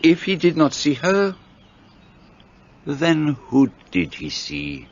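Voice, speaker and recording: A fussy polite detective. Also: male voice, fairly high pitch, wildly swooping pitch, takes it slowly, full character voice. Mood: explaining. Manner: courteous, precise, slightly formal foreign phrasing. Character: fussy middle-aged male detective, polite and precise. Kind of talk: radio play acting. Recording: dull lo-fi sound.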